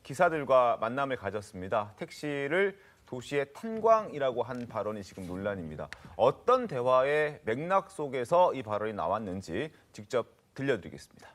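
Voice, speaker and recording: A man presenting a news talk show as its host, in a conversational broadcast style. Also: medium pitch. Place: TV studio.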